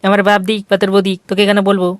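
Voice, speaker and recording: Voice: mid-range voice, completely flat delivery, very fast, clean tone, lightly acted. A performer voicing a shameless, aggrieved freeloading neighbour in a comic cartoon, brazen and combative. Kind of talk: exaggerated comic cartoon dialogue.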